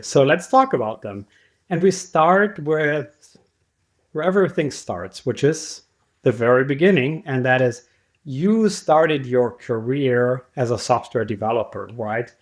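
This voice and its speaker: A man hosting a podcast, interviewing a guest in a conversational way. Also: mid-range voice. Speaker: podcast host.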